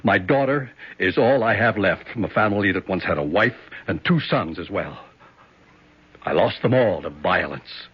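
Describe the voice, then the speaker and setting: A man's voice: sits low, lively intonation, normal speed, husky, no echo. Stern, blustery senator father. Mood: grave, burdened. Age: middle-aged. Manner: blustery, authoritative. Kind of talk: 1970s radio drama acting. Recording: dull, band-limited vintage radio recording.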